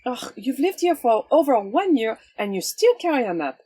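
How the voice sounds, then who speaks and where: A woman's voice: fairly high pitch, very animated, normal speed, mellow, husky, poor audio. A woman playing a cool, wry swashbuckling vigilante with a sly, teasing, theatrical manner in an audio drama. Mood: musing.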